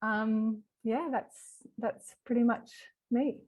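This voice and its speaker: A woman's voice: on the high side, fairly even intonation, slow pace, phone-quality sound. A woman from Western Sydney with an Australian accent, chatting informally in an interview and thinking aloud as she goes. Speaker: company executive being interviewed. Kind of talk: casual interview chat.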